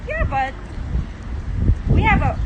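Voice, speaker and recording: High, lively woman, English speaker. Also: slow, lo-fi sound, background noise. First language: non-native English speaker. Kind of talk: casual chat.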